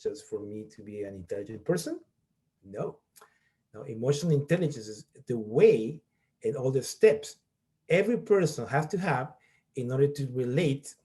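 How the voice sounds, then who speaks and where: Peru-born prof guy, middle-aged, warm low-key voice, explains step by step, keeps saying okay, talking into a computer mic on a video call. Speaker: college professor leading a workshop. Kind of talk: online workshop presentation.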